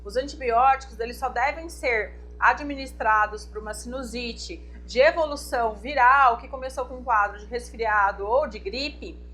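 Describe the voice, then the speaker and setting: A woman's voice: fairly high pitch, normal speed, hardly any pauses, a bit bright, slight background noise, low-quality recording. A woman doctor from Brazil, explaining a medical condition to viewers in a didactic talk, often checking in with them. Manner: didactic, addresses viewers directly with check-ins. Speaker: infectious disease doctor. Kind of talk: explanatory health talk to camera.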